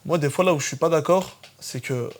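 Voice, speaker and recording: A man hosting a podcast in a studio, chatting casually and pausing to explain terms for listeners. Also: on the high side. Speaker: podcast host.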